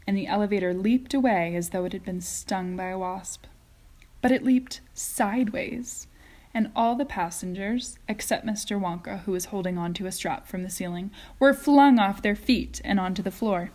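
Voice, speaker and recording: A woman's voice: mid-range voice, darkish tone. A woman teacher narrating a children's story expressively, in read-aloud style.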